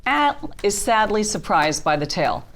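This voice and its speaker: A woman's voice: mid-range voice, very animated, moderate pace, fairly bright tone. A woman narrating the stage directions of a script as scripted narration.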